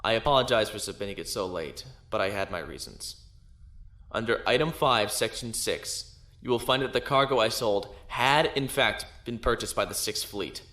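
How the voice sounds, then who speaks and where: Low-voiced, defiant naval officer, roomy echo. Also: male voice, animated, normal speed, pushed and bright. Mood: confident, insistent. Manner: formal, assured, argumentative. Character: defiant young male space-navy lieutenant defending himself. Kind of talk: science fiction audio drama acting.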